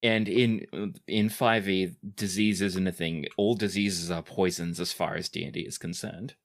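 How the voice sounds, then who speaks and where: A man's voice: sits low, steady tone, normal speed. A man speaking as game master, improvising narration for a tabletop game in a conversational, hesitant way.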